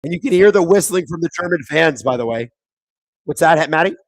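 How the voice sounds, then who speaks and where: A man with a worn, thin, raspy voice that keeps cracking and squeaking as he hosts a live hockey watchalong. He sits somewhat high in pitch and talks casually to his viewers, reading their comments and reacting loudly to big plays. The sound is close and dry from his streaming mic, with no room around it. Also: a little fast, no room sound. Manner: casual, reads viewer chat aloud; excitable play-by-play on big moments. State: hoarse, voice squeaking. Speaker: watchalong host. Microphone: streaming microphone.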